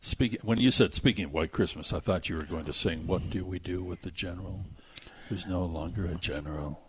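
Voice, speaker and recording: A man's voice: low-pitched, fairly quick, a little hiss or hum. A man hosting a radio show, bantering and reading sponsor plugs effusively, stretching words for emphasis. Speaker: radio movie show host.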